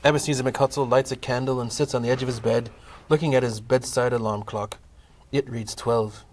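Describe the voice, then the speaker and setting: A man narrating a scripted comic audio play in a measured, formal storytelling manner. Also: mid-range voice, steady tone, a little mellow.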